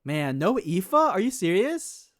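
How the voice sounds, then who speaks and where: A man chatting casually on a gaming livestream in an informal, excitable way, often repeating his phrases. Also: sits high, slow, soft background music. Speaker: game streamer.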